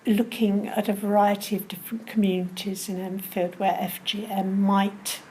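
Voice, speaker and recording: A woman who works in community safeguarding, explaining her work at a measured pace with pauses in a recorded interview. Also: medium pitch, little pitch movement, a little mellow, breathy edge, poor audio.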